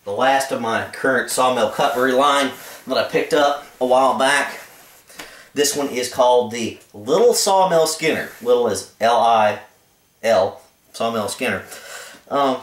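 A man's voice: mid-range voice, fairly bright tone, reverberant. A man hosting his own channel, casually reviewing a cheap knife with a laid-back, rambling manner and blunt opinions. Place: indoors, camera filming a carpeted floor.

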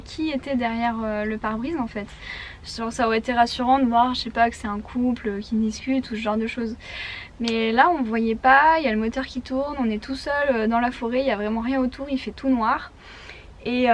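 A young woman talks to her camera from inside a parked camper van, her voice sitting a little high. She tells her story in a chatty, halting way, stopping often to search for words. Faint ambient noise hums behind her. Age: young adult. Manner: chatty, hesitant with many fillers. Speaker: travel vlogger. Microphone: camera at arm's length.

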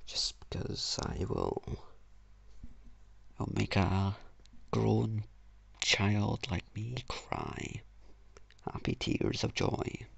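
A teenage boy with a low, gravelly, husky voice, talking slowly in a flat, self-mocking ramble. He is recorded close up on a cheap mic in a small, dry room. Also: no echo, muffled recording. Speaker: YouTuber. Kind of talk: casual channel intro voice-over.

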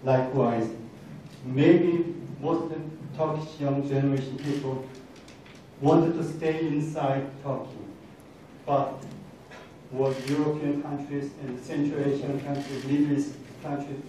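A middle-aged man with a Korean accent giving a halting, earnest motivational talk to students, often repeating and rephrasing himself. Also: medium pitch, level tone, dark tone. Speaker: guest speaker. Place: large indoor university lecture hall. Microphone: handheld microphone, captured from across the room. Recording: very echoey hall, distant, faint noise.

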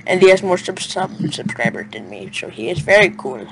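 A young man joking excitably and casually with friends while playing a game over voice chat. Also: high-pitched, normal speed. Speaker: gaming guest and YouTuber. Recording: clearly noisy voice chat with clicks.

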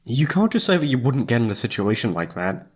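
A man plays an impulsive young male best friend in a roleplay audio drama. His voice is mid-pitched and swings widely as he talks. He speaks casually and emotively, with a hesitant, lovestruck awkwardness. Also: sing-song, a little fast, slightly rough. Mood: frank, mildly defensive. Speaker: voice actor.

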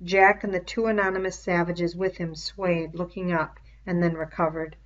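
A woman's voice: lowish voice, flat delivery, moderate pace. A woman reading a novel aloud as scripted narration, on a dull, lo-fi recording. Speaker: reader of an audiobook read-aloud. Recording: dull, muffled lo-fi home recording.

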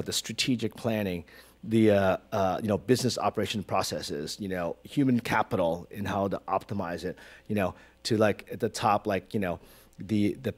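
A man in his forties from Hong Kong, a creative director as guest in an onstage conversation, talking with lots of fillers and big hand gestures into a stand mic. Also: on the low side. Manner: animated, gestures broadly, many fillers. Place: stage in a small auditorium with audience. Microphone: stand mic close to mouth.

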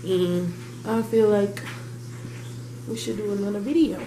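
A young woman with a stuffy nose from a cold, hosting a casual food vlog at home and teasing her partner, shifting from low mumbles to loud high greetings. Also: steady tone, a little slow, heavy background noise. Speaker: vlogger host. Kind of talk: casual mukbang vlog chat. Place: small home kitchen and dining table indoors. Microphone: handheld phone camera then fixed camera at table.

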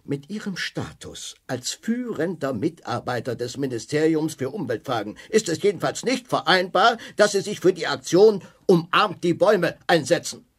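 An authoritarian minister in a radio play. The voice is husky and fairly high for a man, with a formal, officious, clipped manner. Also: lively intonation, normal speed, few pauses. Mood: cold, commanding. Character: stern, authoritarian government minister reprimanding subordinates. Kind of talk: German radio drama acting.

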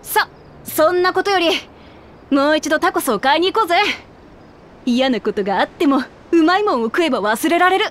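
Brash, high-voiced lioness warrior. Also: female voice, animated, brisk. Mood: upbeat. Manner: blunt, rough tomboyish speech. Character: brash, hearty young lioness warrior princess. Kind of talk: Japanese game voice acting.

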